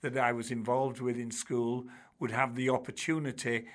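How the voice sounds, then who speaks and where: A man's voice: mid-range voice, little pitch movement, moderate pace. An older man, a former teacher telling stories in a chatty interview, full of restarts and asides. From Bradford, England. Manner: chatty, warm-humoured, many restarts and "you know"s. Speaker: guest, charity trustee and former teacher. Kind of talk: relaxed storytelling in an interview.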